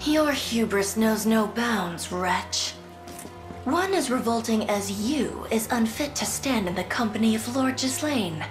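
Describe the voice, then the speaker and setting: A woman's voice: a little high, animated, unhurried, over music. An actress playing a stern young woman fiercely devoted to her lord in a fantasy video game, formal and haughty. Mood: scornful.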